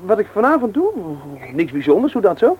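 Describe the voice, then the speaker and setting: A man's voice: high-pitched, very animated, fairly quick, narrow, tinny audio. An actor playing an evasive, anxious young man who stammers. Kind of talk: Dutch radio play acting. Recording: noisy old radio play recording.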